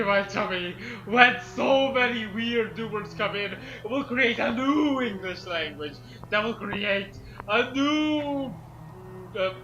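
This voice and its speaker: A man's voice: high-pitched, sharp tone, muffled recording. A man doing comedic banter as a co-host, with a hammy, mock-villainous manner. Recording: faint music behind. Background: faint music.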